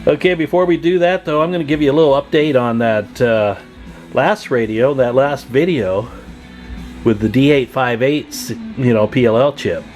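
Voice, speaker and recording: A man's voice: medium pitch, normal speed, a little mellow, soft background music. A man talking casually and informally as he hosts a hands-on radio repair demonstration, in a chatty, folksy manner. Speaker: host of a CB radio repair channel.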